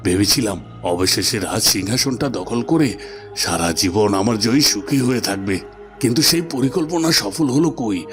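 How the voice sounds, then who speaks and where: A man's voice: lowish voice, expressive, moderate pace, close to an everyday voice. A man voicing a defeated, scheming army commander and imprisoned father in a cartoon, grave and regretful. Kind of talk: melodramatic cartoon voice acting. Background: music.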